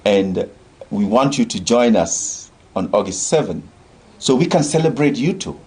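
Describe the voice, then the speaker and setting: Husky earnest man from Senegal. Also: medium pitch, expressive, unhurried, darkish tone. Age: older. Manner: earnest, rallying, repeats phrases for emphasis. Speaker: organization leader. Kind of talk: talk show discussion.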